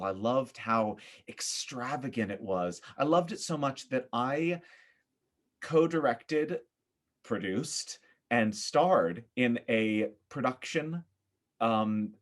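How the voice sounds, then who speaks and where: A man with an American accent, from upstate New York, talking casually as a podcast guest in an animated, opinionated, emphatic storytelling way. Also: medium pitch. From upstate New York, United States.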